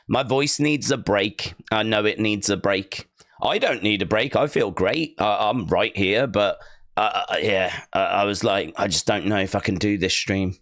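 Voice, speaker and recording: A man's voice: lowish voice, fairly bright tone, no echo, clean studio sound. Man with a tired, weak voice. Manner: rambling, repeats himself, talks himself through. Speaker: live streamer hosting a fight watch-along. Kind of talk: casual live-stream chat. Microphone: streaming microphone.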